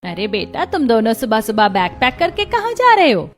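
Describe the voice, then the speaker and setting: A woman's voice: on the high side, huge pitch swings, normal speed, a little hiss or hum. A woman voicing a kindly middle-aged village mother-in-law in a Hindi cartoon story, motherly and approving.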